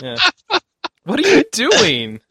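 A man's voice: very high voice, wildly swooping pitch, takes it slowly, vocal fry, a loud crowd. A man hosting a podcast panel with casual, bantering chat. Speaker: podcast host.

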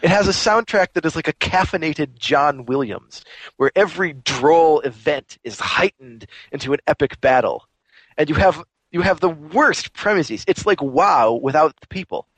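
A man's voice: on the high side, expressive, normal speed, fairly bright tone, dry recording, rough recording. A man on a gaming podcast ranting sarcastically about a game. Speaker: podcast co-host. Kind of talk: comedic game review rant.

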